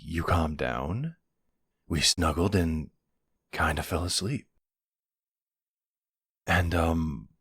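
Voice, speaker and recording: A man playing a gentle, worried boyfriend in an intimate roleplay audio drama, soothing and reassuring with a hesitant delivery. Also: no room sound, studio quality, full character voice. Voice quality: low, husky voice.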